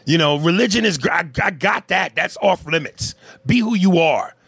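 A Canadian man with a gravelly voice talks bluntly and swears freely. He co-hosts a loose talk show, reading out listener comments and pushing back hard. His voice climbs into loud, emphatic bursts when he makes a point. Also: high-pitched, lively intonation, moderate pace, dry recording. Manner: blunt and profane, rising into loud emphatic rants. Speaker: podcast co-host.

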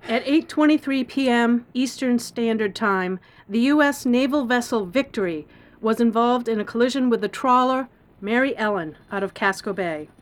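An actress playing a brisk government press secretary in a radio drama, giving a formal briefing in a composed, factual manner. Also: female voice, a little high, close to an everyday voice. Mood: grave, official.

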